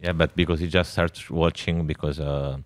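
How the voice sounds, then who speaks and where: A man hosts a podcast in casual chat, teasing his guest and tripping over repeated words. His voice is very deep. The sound is dry and close. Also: steady tone, normal speed, no echo. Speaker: podcast host.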